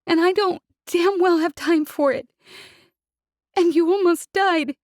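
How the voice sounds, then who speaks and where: Woman reads as tearful young woman pilot, high, sobbing, breathy, storybook reading. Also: expressive, measured pace, a little mellow, no echo, clean studio sound. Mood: furious, sobbing. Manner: angry, sobbing, swearing. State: crying.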